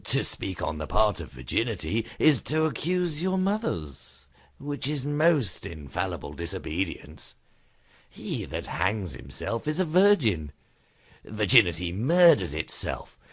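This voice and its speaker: A man acts out a witty, cynical rogue from a Shakespearean comedy. The voice is deep and husky, with wide melodic swings. He argues in a wry, mocking, theatrical way. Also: dry recording, full character voice. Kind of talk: theatrical Shakespearean comic monologue.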